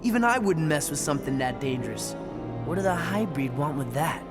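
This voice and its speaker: Guy as snarky grumpy teenage boy in a game, voice a bit high, loud music behind. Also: animated, fairly quick, close to an everyday voice. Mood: sarcastic, suspicious. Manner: sarcastic, blunt. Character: cocky, sarcastic, grumpy teenage boy, car-proud tough guy. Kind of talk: scripted video game cutscene acting.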